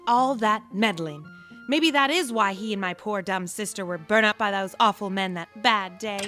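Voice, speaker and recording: A woman's voice: mid-range voice, normal speed, bright, forceful tone, faint music behind, close to an everyday voice. A woman playing a spiteful, bossy, melodramatic village aunt in a comic audio drama, stagey and reproachful. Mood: bitter.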